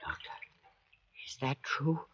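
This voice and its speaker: A man's voice: takes it slowly, fairly bright tone, dry recording. A man playing an anxious, pleading, bewildered castaway in a 1940s radio drama. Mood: uneasy. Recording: dull, noisy 1940s radio recording.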